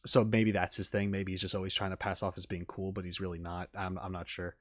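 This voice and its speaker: A man's voice: low voice, flat delivery, dry recording, studio quality. A man hosting a reaction video with casual, rambling commentary, often breaking off mid-thought and restarting his sentences. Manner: halting, self-interrupting, trailing off mid-thought; casual swearing.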